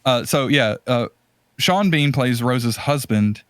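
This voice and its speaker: A man with a mid-pitched voice talks as a podcast host, recorded dry with almost no room sound. He gives casual, opinionated commentary on films, rambling and circling back on phrases as he drives each point home emphatically. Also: expressive, takes it slowly, no echo.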